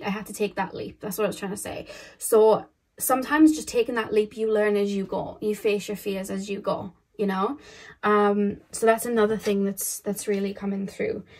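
A young woman chats her way through a tarot reading at a table, looping back over the same phrases with plenty of fillers. Her voice carries a slight croak, and her pitch sits in the middle range for a woman. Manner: chatty and rambling, repeats phrases, many fillers. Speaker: tarot card reader. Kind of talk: casual pick-a-card tarot reading. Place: indoors at a table, camera overhead.

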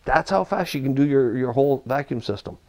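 A man's voice: medium pitch, a little fast. Man explaining a cockpit build at a workbench. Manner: relaxed, practical, addresses viewers as guys. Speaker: aircraft builder demonstrating. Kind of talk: hands-on workshop instruction. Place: workshop bench, indoors.

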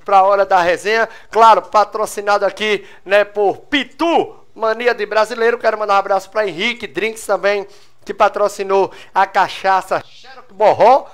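A man's voice: high-pitched, steady tone, normal speed, fairly bright tone, clean tone. A young man hosting a local talk show, teasing his guest with jokes, on a handheld mic in a small TV studio. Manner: teasing, jokey, laughs often. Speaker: host. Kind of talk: lively talk show interview. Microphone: handheld microphone.

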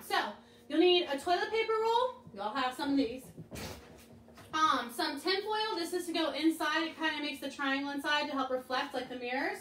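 Animated high-voiced woman teaching children. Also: a little hiss or hum. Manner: bright, animated, talking to children on camera. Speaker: children's chapel leader. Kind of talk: children's devotional and craft lesson. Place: living room, seated on a couch. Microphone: camera a few feet away. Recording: echoey room, mic at a distance.

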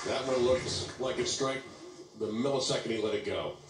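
A man's voice: medium pitch, moderate pace, reverberant, recorded from a distance. A middle-aged man calling a youth bowling match as play-by-play commentator, wry and conversational. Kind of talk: sports broadcast commentary.

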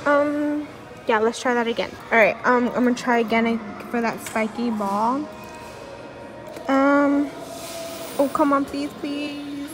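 A young woman vlogging casually in a large indoor mall, chatty and pleading excitedly at a claw machine, recorded on a handheld phone. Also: high-pitched, takes it slowly, pauses often, over music. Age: young adult. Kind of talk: casual vlog commentary. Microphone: phone camera at arm's length.

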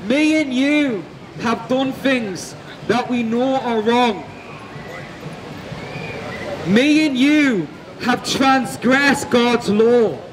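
A man preaching loudly and slowly on a city street. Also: very high voice, crowd noise, rough recording. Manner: loud, slow, declaiming. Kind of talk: open-air preaching.

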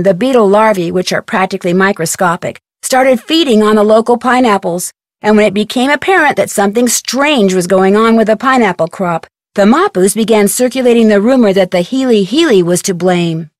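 A woman's voice: medium pitch, dry recording, clean studio sound, lightly acted. An American woman voicing an upbeat, clever young female detective in a video game, narrating earnestly with wry asides.

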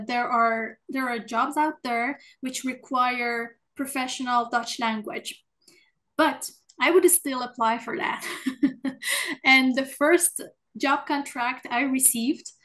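A woman's voice: on the high side, a bit bright. A woman speaking as an alumna on a webinar panel over a video call, answering earnestly with frequent hesitations. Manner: earnest, hesitant, many uh fillers.

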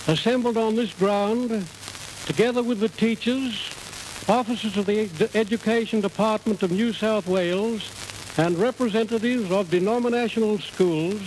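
A man delivering a solemn, deliberate ceremonial speech at an outdoor public event in an oratorical manner. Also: sits high, a little mellow, poor audio. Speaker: education official giving an address. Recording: hissy 1950s 78rpm record.

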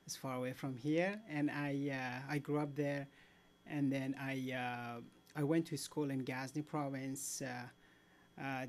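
Hesitant Afghan man in a studio interview. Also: mid-range voice. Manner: hesitant, many fillers and restarts in second language. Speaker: guest photojournalist. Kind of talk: casual interview answers. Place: TV studio at a desk. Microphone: desk microphone.

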